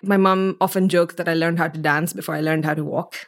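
A woman's voice: lowish voice, steady tone, fast. A young woman from Kenya, telling personal stories as a podcast guest in a reflective, articulate way. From Mombasa, Kenya. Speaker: podcast guest, theatre artist. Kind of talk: conversational interview, personal storytelling.

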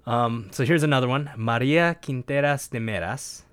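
A man's voice: medium pitch, normal speed, a bit bright, smooth, no room sound. A man co-hosting a casual chat podcast, reading passages aloud from a web page and breaking off for wry asides. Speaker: podcast co-host.

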